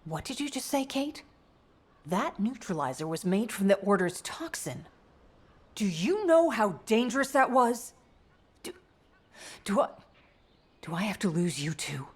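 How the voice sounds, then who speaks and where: Casting: game heroine, soldier-scientist, caring but stern, formal delivery, lively intonation. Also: female voice, medium pitch, measured pace, long pauses, slightly rough. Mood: upset, worried. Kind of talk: video game voice acting.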